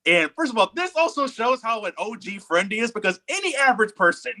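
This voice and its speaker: A man co-hosting a casual podcast discussion, telling stories in an animated, slangy way and acting out quotes. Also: very high-pitched, fairly quick. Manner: animated storytelling, acts out quotes in slangy speech. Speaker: podcast co-host.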